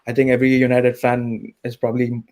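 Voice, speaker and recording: Man, caller, measured, monotone delivery, mid pitch. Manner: measured, even, thinking aloud. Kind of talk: casual call-in chat.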